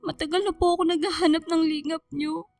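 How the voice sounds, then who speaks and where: A woman acts out a loving, remorseful young daughter with a Filipino accent. Her voice is high and pleading, recorded very dry with music underneath. It suits a sentimental radio drama. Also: steady tone, a little fast, darkish tone, no echo. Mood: tender, remorseful.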